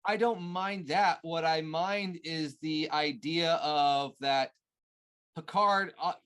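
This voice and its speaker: A man in casual conversation as a co-host, recapping a story in a halting way and searching for words. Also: fairly high pitch, steady tone, a bit bright. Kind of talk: casual chat recapping a TV show.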